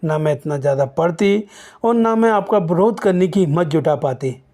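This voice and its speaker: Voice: mid-range voice, lively intonation, normal speed, mellow, voice pushed up, natural voice. Narrator acting an outspoken, defiant young daughter. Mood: defiant, relieved. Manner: emphatic, defiant.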